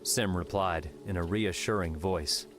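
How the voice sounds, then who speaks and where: Man, audiobook narrator, deep pitch, scripted storytelling, faint music bed. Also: steady tone, a little slow.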